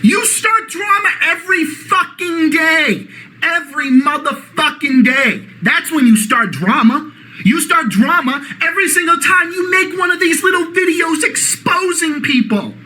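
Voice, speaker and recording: A man with a Boston accent delivering a profane, mocking reaction rant, often shouting. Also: very high voice, measured pace, low-quality recording. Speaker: YouTube ranter.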